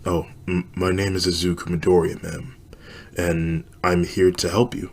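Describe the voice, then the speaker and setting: A man voicing an earnest, kind teenage boy who heals people, speaking gently and politely with small stammers. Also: low-pitched, little pitch movement, moderate pace. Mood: gentle.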